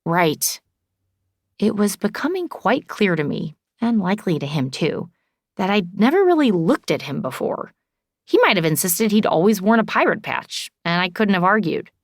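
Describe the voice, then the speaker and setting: Animated woman narrator with vocal fry. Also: medium pitch, moderate pace, no room sound, studio quality. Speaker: audiobook narrator. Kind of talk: expressive first-person scripted narration.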